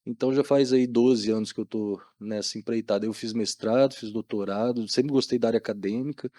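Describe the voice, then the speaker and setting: A man's voice: medium pitch, fairly even intonation, fairly quick, dark tone, no echo, clean studio sound. A middle-aged Brazilian man chatting informally as a podcast guest, using lots of colloquial tags. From Maringá, Brazil. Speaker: guest, doctor. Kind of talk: casual podcast interview talk.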